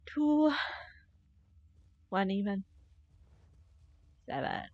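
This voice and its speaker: A woman's voice: medium pitch, big pitch swings, drawn-out words, no echo. A woman giving casual live gameplay commentary, chatty and informal, often muttering reactions to herself. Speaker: game streamer. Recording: slightly dull stream mic.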